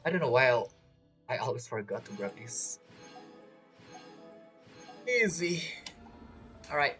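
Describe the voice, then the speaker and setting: A man's voice: mid-range voice, very animated, slow, pushed and bright. A man giving casual live gameplay commentary, talking as a gaming streamer. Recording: game music behind the voice. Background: video game music.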